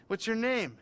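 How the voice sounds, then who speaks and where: An actor playing an excitable, earnest male writer who admits he is desperate. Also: high voice, a little hiss or hum, heavily acted. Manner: excitable, earnest, talks fast in bursts. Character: eager nervous male writer. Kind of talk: video game cutscene acting.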